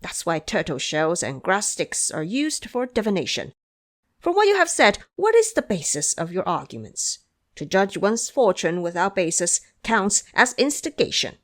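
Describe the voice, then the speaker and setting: A woman's voice: medium pitch, big pitch swings, normal speed, lightly acted. A woman playing a haughty, hot-tempered young crown prince with an imperious delivery in an audio drama. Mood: skeptical. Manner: imperious, indignant.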